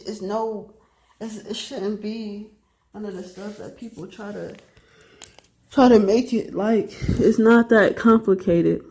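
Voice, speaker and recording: Young woman in her twenties, vlogger and would-be rapper, blunt and profane, rambles and repeats herself for emphasis, mid-pitched voice. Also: a little mellow, low-quality recording. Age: 20s. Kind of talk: casual monologue to camera.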